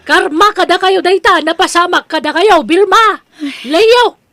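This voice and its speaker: A woman acting a high-pitched village neighbour. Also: a little slow, pushed and bright, full character voice. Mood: reproachful. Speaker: drama voice actress. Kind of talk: Ilocano audio drama acting.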